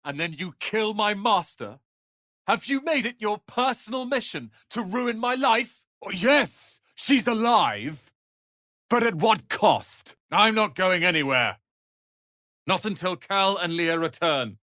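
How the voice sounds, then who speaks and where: A man's voice: high-pitched, expressive, slow, pushed and bright, dry recording, studio quality, exaggerated voice. An actor playing a haughty, prickly young male wizard's apprentice in a fantasy video game, with clipped, theatrical delivery. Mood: bitter, angry, then resolute.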